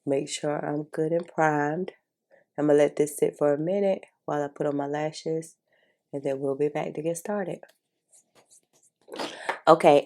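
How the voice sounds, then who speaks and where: A congested woman talks viewers through her makeup in a casual, chatty way. Her voice sits low and carries a gravelly fry, with a dark, mellow tone. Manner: chatty, informal, addresses viewers as y'all. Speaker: beauty vlogger. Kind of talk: casual makeup tutorial chat.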